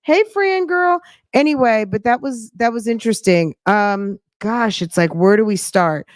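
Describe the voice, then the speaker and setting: A woman's voice: fairly high pitch, a little slow, dry recording, studio quality. A woman hosting a casual commentary show, thinking aloud in a sassy, pointed way with rhetorical questions and emphatic repetition.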